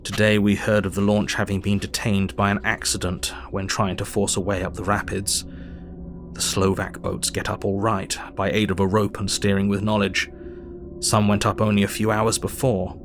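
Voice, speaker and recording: An actor playing a sober Victorian doctor, reading his diary in a measured, formal way for an audio drama. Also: lowish voice, little pitch movement, close to an everyday voice.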